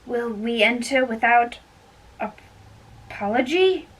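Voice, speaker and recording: A woman playing a sad, lovesick young man in an amateur stage play reading, halting and plaintive, away from the mic. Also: fairly high pitch, lively intonation, distant mic, rough recording. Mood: mournful.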